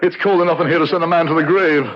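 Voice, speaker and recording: An actor playing a bitter, self-loathing drunkard haunted by guilt, brooding and theatrical in a radio drama. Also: male voice, a little high, fairly even intonation, very fast, crowd noise, close to an everyday voice. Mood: rum-soaked, shivering. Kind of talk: vintage radio drama acting. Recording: dull vintage radio recording with music.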